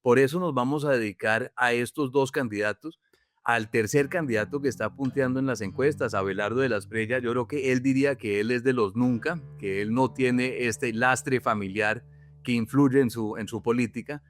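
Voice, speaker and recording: Brief: male podcast host, mid pitch, steady scripted delivery. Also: moderate pace. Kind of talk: scripted podcast narration and introduction.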